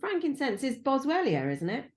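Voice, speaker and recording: A woman guest with a slightly croaky voice, chatting casually and confidently on a podcast. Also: sits high, huge pitch swings, moderate pace. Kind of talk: casual chat.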